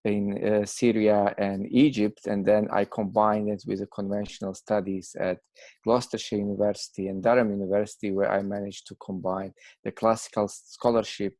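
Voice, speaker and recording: A man in his thirties with a Bosnian accent answers interview questions in an explanatory, academic way. His pitch is on the low side. The sound is dry, with no room echo. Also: flat delivery, normal speed, a little mellow. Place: indoors, video call.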